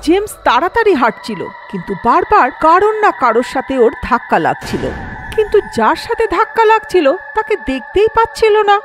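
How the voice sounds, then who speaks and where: Woman narrator, high pitch, very lively intonation, dramatic horror storytelling. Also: fairly quick, slight background noise.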